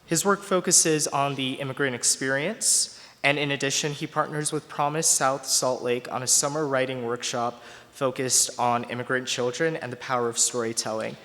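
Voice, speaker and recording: A man at a university event reads a formal introduction of the evening's speaker. He speaks at an ordinary pitch in an even, formal way, and a long hall reverb trails behind his words. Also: moderate pace. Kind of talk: read introduction. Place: lecture hall.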